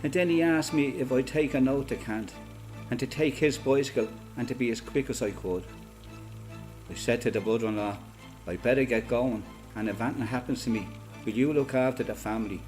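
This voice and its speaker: A man with an Irish accent playing a resolute, plain-spoken freedom fighter giving a matter-of-fact, measured first-person testimony in a radio play. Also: mid-range voice, a little mellow, faint music behind, natural voice. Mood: matter-of-fact recollection.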